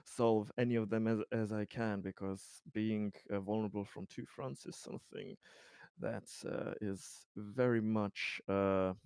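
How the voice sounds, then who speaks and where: A man's voice: fairly low pitch, a little mellow, clean tone, no room sound, studio quality. A man playing a polite, worried company representative in a tabletop game, speaking in hesitant, wordy, formal phrases.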